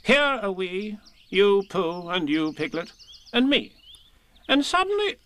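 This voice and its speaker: A man's voice: high-pitched, big pitch swings, slow pace, a bit bright, voice pushed up, heavily acted. A man voicing a bossy, exasperated rabbit in a children's storybook, speaking in an emphatic, officious way. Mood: indignant. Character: bossy, brisk, exasperated male rabbit who takes charge.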